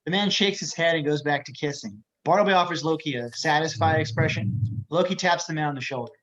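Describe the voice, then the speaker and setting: Man, higher-pitched voice, scripted narration, screenplay action lines, table read. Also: a little fast, fairly bright tone, poor audio. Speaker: reader of action descriptions.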